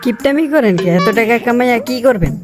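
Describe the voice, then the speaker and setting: A woman's voice: a little high, lively intonation, fast, natural voice. A woman voicing a cheeky young male bachelor who trades rhyming banter. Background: cartoon background music.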